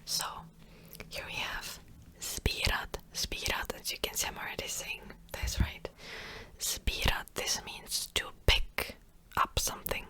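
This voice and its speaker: A woman whispering softly and close to the mic as she gives a gentle language lesson, slowly repeating words. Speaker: language teacher.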